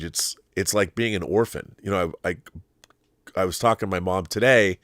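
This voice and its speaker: A middle-aged American man hosts a casual livestream in a low voice. He talks in a rambling, conversational way, doubling back and restarting his thoughts. The sound is very dry, with no room echo. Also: a bit bright. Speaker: host of a live YouTube show. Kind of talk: casual livestream monologue answering viewer comments.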